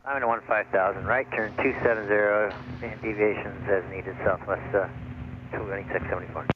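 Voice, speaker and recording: A man's voice: lowish voice, steady tone, normal speed, dull audio. A man working as an airline pilot, giving readbacks over a noisy aviation radio.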